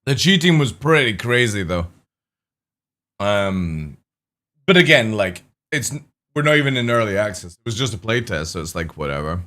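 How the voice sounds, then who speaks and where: A man's voice: on the low side, very animated, moderate pace, pushed and bright. A young man streaming, chatting casually with viewers, swearing now and then, his voice a little crackly. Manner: casual, swears, greets chat by name. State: slightly crackly voice.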